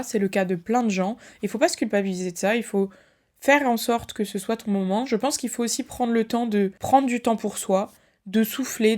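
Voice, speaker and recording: A woman's voice: mid-range voice, a little mellow. Tired chatty woman podcasting solo. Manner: chatty, many fillers, addresses listeners directly. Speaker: podcast host and fitness creator. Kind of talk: casual solo podcast talk.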